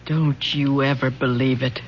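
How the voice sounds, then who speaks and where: A woman's voice: a bass voice, steady tone, measured pace, muffled recording, a little hiss or hum. An actress playing a lonely, bitter middle-aged mother, earnest and dramatic, with an American accent in old-time radio style.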